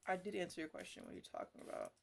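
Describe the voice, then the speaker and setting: A woman's voice: fairly low pitch, huge pitch swings, very fast, creaky, full character voice. A woman playing a teasing, slangy botanist who chats to her plants in a casual ASMR roleplay. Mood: playful, earnest. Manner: rambling, bantering, slangy.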